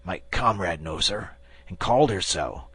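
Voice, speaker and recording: A man's voice: expressive, a little slow, fairly bright tone, breathy edge, dry recording, heavily acted. A man voicing a wounded, terrified soldier who begs for his life. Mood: fearful. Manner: pleading, high and strained.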